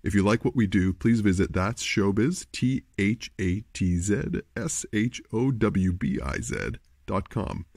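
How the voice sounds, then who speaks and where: A man with a gravelly, husky voice reading scripted credits as an announcer. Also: lowish voice, a little slow, hardly any pauses, darkish tone, no echo, clean studio sound. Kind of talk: scripted narration.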